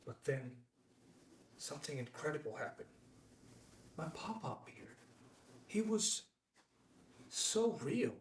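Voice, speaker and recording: A man's voice: fairly high pitch, very animated, takes it slowly, long pauses, slight background noise. A man acting the part of a charismatic bestselling mountaineer in his late thirties at a table read, speaking in a theatrical, weighty way. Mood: solemn, reverent. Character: charismatic best-selling mountaineer, late thirties, slick and self-mythologizing. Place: indoor room at a filmed table read. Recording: echoey room, distant microphone.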